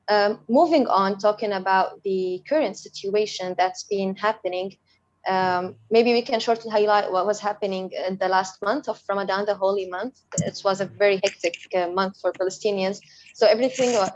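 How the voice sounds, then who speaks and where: A young woman from Syria speaks as an activist guest in a webinar, presenting prepared points. Her voice sits at a medium pitch and comes through a slightly muffled, lo-fi online connection. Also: a little mellow. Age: young adult. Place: online video call. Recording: dull lo-fi online call.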